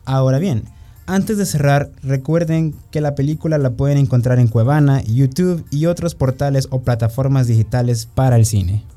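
A man's voice: medium pitch, moderate pace, mellow, soft background music. A man with a Salvadoran accent co-hosting a radio segment, reading prepared notes in a friendly way. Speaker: radio co-host.

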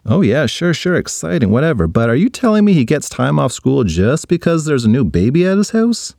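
Man plays a jealous young boy in a kids' storybook: high, bouncy and pleading. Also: brisk, vocal fry, dry recording, studio quality. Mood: excited. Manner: excited, pleading, whiny.

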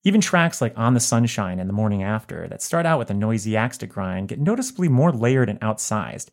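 A man narrating a written album review in a measured, articulate way. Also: on the low side, very animated. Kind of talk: scripted narration.

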